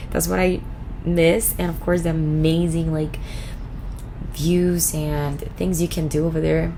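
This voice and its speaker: A woman, casually answering viewer questions in a vlog, chatty and relaxed with lots of fillers. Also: lowish voice, a little hiss or hum. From Puerto Rico. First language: Spanish. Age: 20s. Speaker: vlogger.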